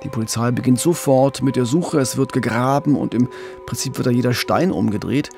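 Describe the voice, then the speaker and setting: Gravelly German man telling true crime. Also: mid-range voice, fairly quick, music in the background. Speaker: podcast co-host. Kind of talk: conversational true-crime storytelling.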